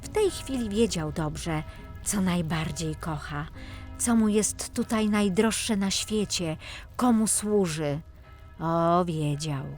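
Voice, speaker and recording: A woman narrating a children's fairy tale in an expressive, dramatic storytelling manner. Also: lowish voice, slow pace, faint music behind. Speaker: lead audiobook narrator.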